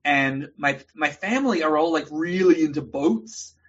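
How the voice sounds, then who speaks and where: Husky man telling wry rambling stories. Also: fairly high pitch, expressive, normal speed, fairly bright tone, lo-fi sound. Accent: mostly American, little of his New Zealand accent left. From New Zealand. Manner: rambling, wry storyteller with comic asides. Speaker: guest creator. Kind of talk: casual video call chat.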